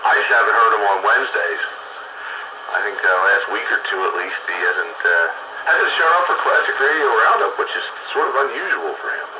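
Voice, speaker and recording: Older male radio hobbyist, low-pitched, casual chat, buried in loud static. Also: lively intonation, brisk. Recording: muffled, very noisy two-way radio transmission.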